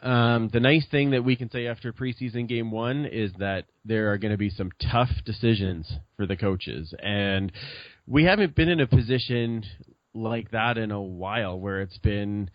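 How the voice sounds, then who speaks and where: A middle-aged man chatting as a podcast guest, halting with many fillers and restarts. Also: on the low side, dry recording. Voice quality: loud voice. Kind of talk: casual chat. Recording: dull lo-fi sound.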